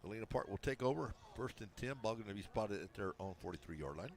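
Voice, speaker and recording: A man with a big, loud voice calling high school football play-by-play from a press box, mixing calls with folksy jokes. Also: lively intonation, fast talker. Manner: folksy, joking banter between calls. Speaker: play-by-play announcer. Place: press box at outdoor stadium.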